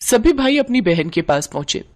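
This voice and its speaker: Expressive woman storyteller. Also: a little high, normal speed. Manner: dramatic, expressive. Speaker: narrator. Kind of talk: scripted storytelling narration.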